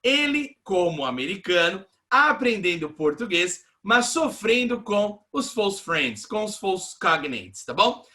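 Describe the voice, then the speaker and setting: Bright, high-voiced male teacher. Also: very animated, slow, few pauses. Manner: explains patiently, often checks in with "né?" and "ok?". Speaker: English teacher. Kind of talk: recorded online class explaining translations.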